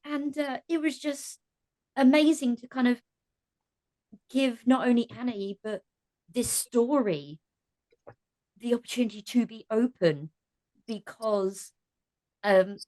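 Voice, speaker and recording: A woman's voice: a little high, slow pace, long pauses, warm tone, rough recording. A woman talking casually but with passion as a podcast guest, earnest and emphatic, repeating phrases for emphasis. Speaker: podcast guest, cast member of an audio drama. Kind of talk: impassioned casual discussion.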